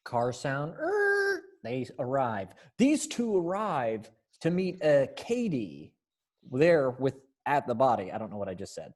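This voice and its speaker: A man directing and narrating an amateur readers theater practice in a playful, improvised, halting way. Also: medium pitch, wildly swooping pitch, darkish tone. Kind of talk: informal hammy announcing and coaching.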